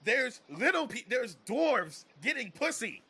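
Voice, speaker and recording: A man's voice: very high voice, big pitch swings, a little slow, pushed and bright, slight background noise. An American man talking casually and with strong opinions on a podcast, emphatic and repeating phrases as he builds a point. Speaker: podcast host, comedian.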